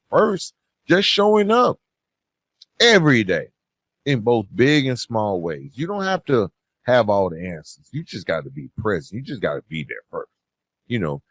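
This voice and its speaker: A man hosting a podcast, giving motivational advice in an earnest, conversational, gently preaching way with emphatic pauses. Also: lowish voice, no echo. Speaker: podcast host and author.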